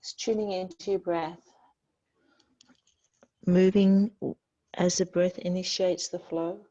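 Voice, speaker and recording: A woman teaching yoga, giving gentle, soothing, unhurried guided instruction with pauses between phrases. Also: on the low side, little pitch movement, highs cut off.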